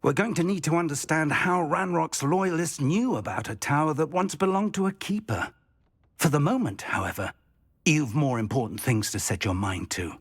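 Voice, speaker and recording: A careful elderly wizard mentor weighs each slow, measured word in a husky voice. The recording is dry and close. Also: male voice, a little high, lively intonation, dry recording. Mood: calm. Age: older.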